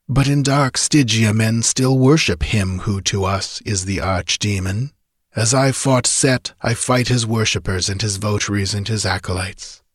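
A man's voice: medium pitch, slightly rough, no echo, clean studio sound, voice pushed up. Ancient spectral wizard, acted by a male narrator: sepulchral, archaic and imperious, storybook fantasy grandeur. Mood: imperious, solemn. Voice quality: sepulchral, ghostly tone. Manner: grandiose, archaic, oratorical delivery. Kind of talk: dramatic audiobook fantasy reading.

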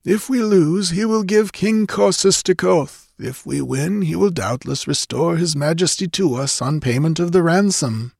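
A man's voice: on the high side, a little slow, gravelly, few pauses, a little mellow, breathy edge, no echo, clean studio sound, pitched above the performer's own voice, full character voice. A man voicing an elderly, careworn male chancellor giving formal, emphatic counsel in a fantasy story. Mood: insistent.

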